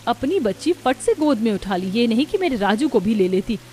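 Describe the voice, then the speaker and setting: A woman's voice: on the high side, sing-song, normal speed, a little mellow, slight background noise. A woman voicing a selfish, sulky grown daughter in a cartoon who talks in an accusing way.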